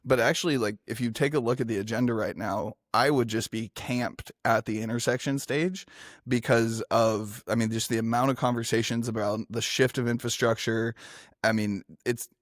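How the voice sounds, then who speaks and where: A man's voice: medium pitch, moderate pace, no room sound, studio quality. Enthusiastic man moderating a panel. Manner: energetic, filler-heavy, enthusiastic. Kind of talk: casual panel discussion.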